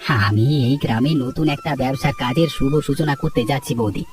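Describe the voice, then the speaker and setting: A man's voice: mid-range voice, huge pitch swings, quick pace, faint music behind. A man playing an earnest young villager, slightly distant. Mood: concerned, respectful. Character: earnest helpful young village man, hatching business plans. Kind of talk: Bengali cartoon dialogue.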